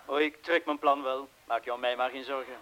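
An actor with a Flemish accent playing an anxious, protective man in a radio drama, speaking haltingly and urgently. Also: mid-range voice, fairly even intonation, a bit bright, close to an everyday voice, low-quality recording. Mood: urgent, tender. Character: anxious, protective man urging his lover to leave. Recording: hissy old radio play recording.

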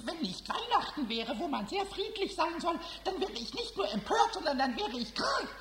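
A man voicing a cheeky, indignant, childlike little male kobold in a squeaky voice for a children's radio play. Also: big pitch swings, moderate pace. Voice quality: squeaky, high-pitched. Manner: stuttering repetitions, childish protesting. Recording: noisy 1970s radio play recording.

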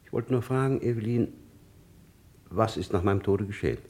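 A man's voice: lowish voice, fairly quick, darkish tone, lightly acted. An actor playing an older businessman father back as a ghost, clipped and matter-of-fact, in a vintage radio crime play. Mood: terse, weary. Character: dead businessman father returned as a terse ghost. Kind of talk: vintage German radio crime drama acting.

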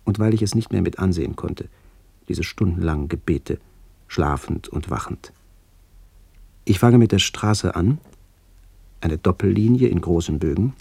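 An actor playing a reflective middle-aged man narrating a literary radio play in a measured, contemplative way. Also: deep voice, vocal fry, warm tone, slightly rough, no echo, exaggerated voice. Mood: pensive. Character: reflective man visiting his ageing family.

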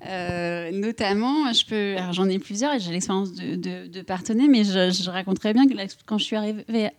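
A woman's voice: medium pitch, a little fast, a little mellow. A woman in her late thirties, a guest on a panel, telling her story in a hesitant way, with many fillers and restarts. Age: 40s. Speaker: board game club member, guest. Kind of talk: casual panel discussion.

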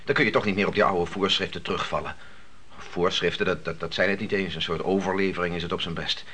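A man's voice: low voice, steady tone, fast talker, sharp tone, slightly rough, full character voice. An actor playing a persistent grown son in a radio play, arguing and reasoning with his mother. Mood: insistent, reasoning. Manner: argumentative, reasoning. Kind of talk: radio drama acting.

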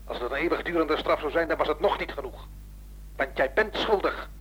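A man's voice: a little high, fairly quick, slightly rough, low-quality recording. An actor playing a vindictive, taunting male caller who accuses insistently in a radio play. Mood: accusing, menacing. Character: menacing, vindictive male caller haunting a guilty man.

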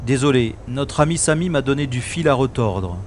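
A man's voice: mid-range voice, lively intonation, normal speed, echoey room, slight background noise, close to an everyday voice. An actor playing a wisecracking special agent who narrates his own science-fiction adventure in a wry, theatrical way. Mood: dry, casual. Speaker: lead actor and narrator of an audio drama. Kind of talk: dramatised first-person narration, sci-fi radio play.

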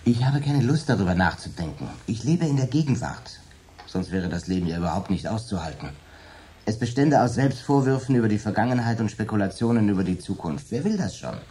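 A man's voice: fairly low pitch, brisk, a little hiss or hum, lightly acted. An actor playing a cynical, ironic man in a radio drama. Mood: evasive. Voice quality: ironic, lively delivery. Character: cynical, brandy-drinking man named Dan. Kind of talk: radio play acting.

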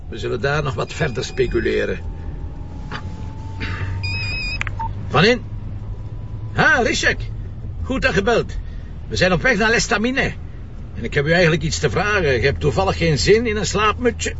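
An actor playing a blunt, sardonic and stubborn police commissioner with a Flemish accent in a crime drama. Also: male voice, a little high, big pitch swings, moderate pace, gravelly. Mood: brisk, familiar. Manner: blunt, sarcastic, insistent. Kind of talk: broadcast crime drama acting. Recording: dull sound with background hum.

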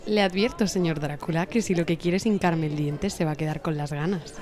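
A woman's voice: low-pitched, fairly quick, a little mellow, natural voice. An actress playing a wary, superstitious woman from a seafaring tale in a theatrical audio drama style. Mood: teasing.

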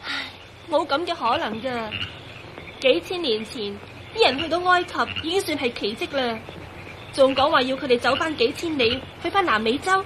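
A woman's voice: high-pitched, normal speed, background noise, close to an everyday voice. An actress playing an anxious, devoted young woman in a Cantonese radio drama. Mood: earnest, insistent. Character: anxious, devoted young woman turned transparent, quick to reason.

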